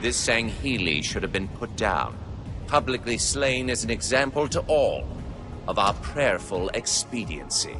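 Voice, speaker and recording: A man's voice: lowish voice, very animated, measured pace. An actor voicing a grave, anxious alien male scribe in a solemn, formal narration for a video game cutscene. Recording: game soundtrack with music underneath.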